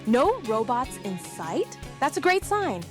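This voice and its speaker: Young idol leader, expressive, high lively voice, played by a woman, soft music behind. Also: takes it slowly, heavily acted.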